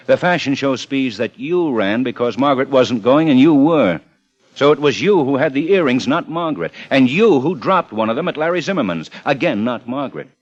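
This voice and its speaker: Actor as relentless police lieutenant, muffled old radio. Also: male voice, mid-range voice, animated, a little fast, lightly acted. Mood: pressing. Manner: clipped, probing, controlled. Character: composed, relentless male police lieutenant interrogating a suspect. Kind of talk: 1950s radio crime drama acting. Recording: muffled 1950 radio broadcast.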